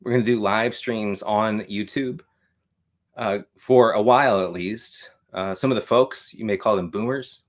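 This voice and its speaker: Rambling, low-voiced American man of forty. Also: a little slow. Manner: rambling, repeats himself, wry self-deprecating asides. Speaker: host of a live show on fatherhood. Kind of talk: casual livestream monologue.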